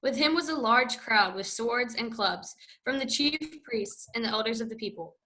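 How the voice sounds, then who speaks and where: A woman narrating a scripture reading in a steady, even read-aloud way. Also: on the high side, normal speed, rough recording.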